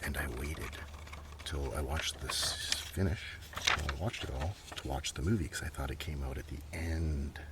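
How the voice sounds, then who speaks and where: A man softly rambling in casual ASMR style, hushed and at times close to a whisper, talking through things he is showing. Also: rumbling low, moderate pace. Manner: hushed, sometimes dropping into a whisper. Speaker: ASMR video creator. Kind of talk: soft-spoken ASMR show-and-tell, rambling casual commentary. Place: outdoors in a gentle breeze. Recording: clearly noisy outdoor ambience. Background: outdoor ambient noise, gentle breeze.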